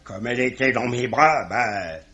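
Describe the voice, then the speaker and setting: A man's voice: medium pitch, measured pace, bright, forceful tone. An actor playing a proud, pugnacious, good-hearted middle-aged Irish father, talking colloquially in a 1950s-style French radio drama. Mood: solemn, blustering. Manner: colloquial, hesitant blustering. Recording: dull vintage radio recording.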